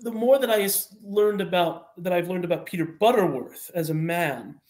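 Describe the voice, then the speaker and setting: A man with a high voice gives interview answers as a guest writer on an online call. He is earnest and tells things as a story, often halting and restarting his phrases. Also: a little mellow. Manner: earnest, halting, self-correcting.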